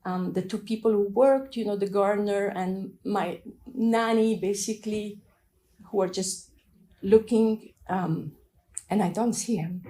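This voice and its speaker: Middle-aged woman, French accent, from Afghanistan; soft, reflective personal testimony. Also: mid-range voice, fairly even intonation. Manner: thoughtful, soft-spoken, pauses and restarts while recalling. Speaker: interviewee telling her migration story.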